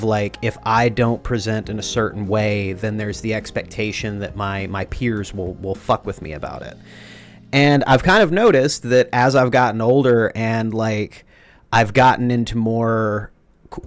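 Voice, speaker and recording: A young man from rural Texas hosting a casual conversation, earnest and openly emotional, talking with his hands. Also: mid-range voice. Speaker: podcast host.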